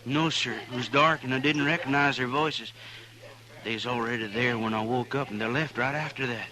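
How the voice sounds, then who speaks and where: Man playing a folksy, bright-voiced frontier drinker. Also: mid-range voice, normal speed, close to an everyday voice, poor audio. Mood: earnest. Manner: rambling, folksy. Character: folksy, sheepish frontier drinker, respectful to lawman. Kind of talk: 1950s radio western acting.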